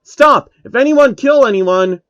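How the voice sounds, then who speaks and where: An alarmed young player in a game story, voiced by a male narrator. The voice is raised and animated, urgent and pleading. The sound is a little dull and lo-fi. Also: very high-pitched, measured pace, clear, resonant tone, dry recording, voice pushed up.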